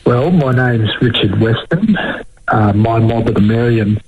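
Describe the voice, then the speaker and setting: A man with an Australian accent, a radio interview guest, talking in an easygoing way with many pauses and ums. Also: medium pitch, animated, narrow, tinny audio, a little hiss or hum. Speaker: interview guest, foundation chief executive.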